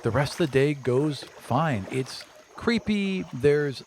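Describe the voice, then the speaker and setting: A man's voice: on the high side, animated, slow pace, a little mellow. A man narrating a tabletop roleplaying game as game master in a casual, descriptive way. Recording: faint insect noise behind the voice.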